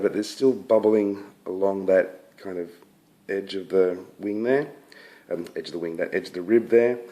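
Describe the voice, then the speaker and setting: A man with a croaky voice from being sick, casually reviewing a finished scale model he built, with a relaxed, hesitant way of talking. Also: low-pitched. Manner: relaxed, hesitant, many fillers and restarts. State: croaky from illness. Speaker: scale model hobbyist presenting his build.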